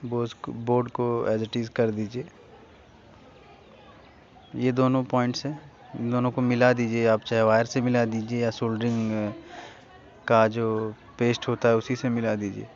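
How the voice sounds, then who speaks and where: A man's voice: mid-range voice, little pitch movement, unhurried, pauses often. An Indian man giving a casual hands-on repair tutorial, speaking informally and instructing viewers directly. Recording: dull lo-fi recording with faint noise.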